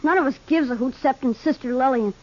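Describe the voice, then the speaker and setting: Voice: sits high, quick pace, darkish tone. A performer playing a sullen, stubborn farm boy with a rural American Western accent in a 1950s radio Western, terse, defiant and grudging. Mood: reluctant, confiding. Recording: dull, muffled 1950s radio recording.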